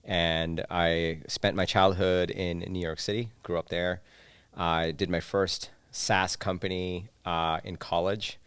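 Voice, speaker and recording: A man in his thirties from Bangladesh, a startup founder telling his story as a podcast guest in a relaxed way. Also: low-pitched, slow pace, clean tone, no room sound. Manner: relaxed storytelling, chuckles at own stories. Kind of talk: podcast interview. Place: studio couch.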